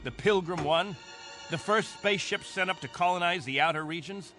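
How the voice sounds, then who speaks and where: An actor playing a stern, devout captain of a struggling space colony in a radio drama, speaking with solemn, earnest authority. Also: male voice, sits high, normal speed, pushed and bright, soft background music. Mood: impassioned reminder.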